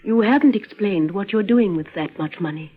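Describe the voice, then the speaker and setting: An actress in an old radio drama playing a young, dutiful but quietly defiant European daughter, earnest and careful. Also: female voice, mid-range voice, normal speed, slightly rough, natural voice. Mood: cold, defiant. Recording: tinny, muddy vintage radio recording.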